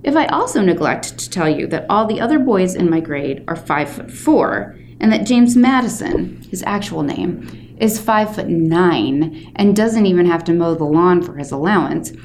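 A woman's voice: lowish voice, big pitch swings, moderate pace, darkish tone. Woman reading as chatty, theatrical young boy narrator. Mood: chatty, self-deprecating. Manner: chatty, self-deprecating, theatrical. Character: short, wisecracking, dramatic eighth-grade boy narrator. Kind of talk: expressive first-person audiobook-style reading. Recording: faint background noise.

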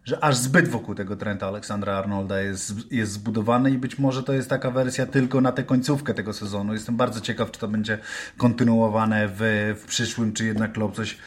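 A man chatting casually about football as a panellist, halting and repeating his words. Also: lowish voice, steady tone. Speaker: podcast panellist.

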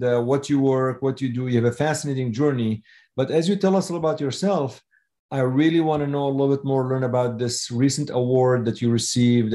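A man's voice: medium pitch, warm tone. A man hosting a podcast interview, chatty and effusive, with loose rambling phrasing. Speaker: podcast host.

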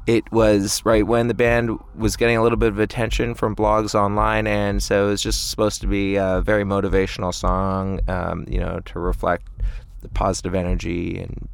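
Male band frontman, casual interview, deep gravelly-edged voice, easygoing and measured. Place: inside a parked tour van.

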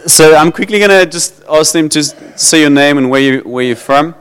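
A South African man preaching to his church in an informal, halting way, repeating words and slipping into Afrikaans. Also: fairly high pitch, fairly bright tone, slight background noise. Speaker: pastor. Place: church hall. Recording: long hall reverb.

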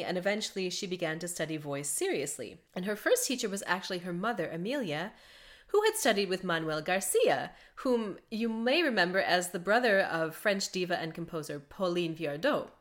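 A woman's voice: mid-range voice, fairly bright tone. A woman hosting a podcast in an easy, chatty manner, talking in an ordinary middle register. She breaks into laughter now and then as she tells her story. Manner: chatty, laughs often between facts. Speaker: podcast host and mezzo-soprano.

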